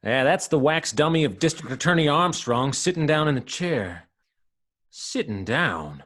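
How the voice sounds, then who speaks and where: An actor playing an eager, jumpy, plain-spoken policeman, a none-too-bright sidekick in an old-time radio drama. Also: on the high side, sing-song, measured pace, full character voice. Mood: nervous.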